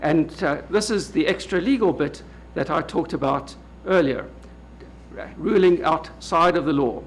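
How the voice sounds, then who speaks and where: A man with a South African accent delivers a formal lecture, his voice sitting fairly high for a man. He speaks in a measured, halting way, filling pauses with frequent "uh"s. A faint background noise runs under the recording. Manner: measured, many hesitant "uh" fillers. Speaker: legal academic speaker. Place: lecture hall at a public event.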